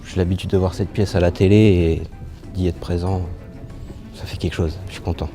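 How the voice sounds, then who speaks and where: A man's voice: low voice, flat delivery, brisk, warm tone. A man aged twenty-one from the Paris region, a young apprentice craftsman giving informal interview answers. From Paris region, France. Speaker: apprentice marquetry craftsman, award winner. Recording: music playing behind the voice. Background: background music.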